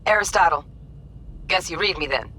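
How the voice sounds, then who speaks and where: An actress playing a curt, cautious, bookish hacker in a video game. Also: female voice, on the low side, normal speed, bright, forceful tone, a little hiss or hum. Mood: brisk, dry. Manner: curt, no-nonsense.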